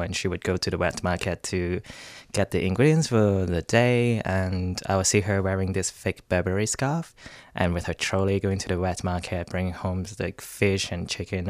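A man's voice: low voice, no echo. A man from Hong Kong, with a Hong Kong accent, explaining things casually as a guest in a studio interview. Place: radio studio.

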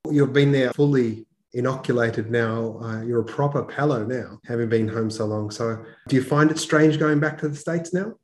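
A man hosting a podcast interview, asking questions and introducing his guest in an animated way. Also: mid-range voice, moderate pace, few pauses. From Australia. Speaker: podcast host. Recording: roomy echo.

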